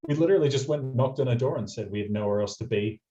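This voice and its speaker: Chatty Australian man, mellow muffled sound. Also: mid-range voice. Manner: quick anecdotal storyteller, chatty. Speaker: radio presenter, guest. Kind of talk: casual storytelling interview. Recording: muffled lo-fi audio.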